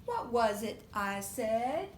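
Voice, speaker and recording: A woman voicing a stern, exasperated mother monkey from a picture book, scolding loudly and emphatically about bedtime rules. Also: very animated, slow. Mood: firm, stern. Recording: roomy echo with faint low rumble.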